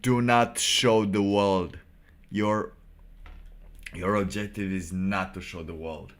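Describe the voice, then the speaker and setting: A man with a very low voice talks off the cuff like a streamer giving commentary. He philosophizes in a halting, rambling way, with a blunt, irreverent edge. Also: steady tone. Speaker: live streamer reacting to motivational videos.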